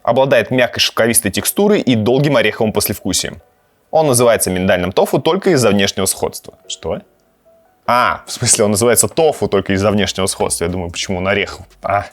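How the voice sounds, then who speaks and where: A man with a fairly low voice chats casually as a vlog host, swinging expressively up and down in pitch. His manner is playful and informal, with light music playing behind him. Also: lively intonation, fast talker. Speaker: host of a gaming and cooking channel. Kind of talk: casual chat to camera with gameplay reactions.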